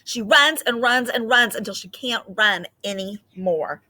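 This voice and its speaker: A woman teacher explaining reading ideas to children in a gentle, patient way with frequent fillers, then reading a picture book aloud expressively. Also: fairly high pitch, lively intonation, measured pace.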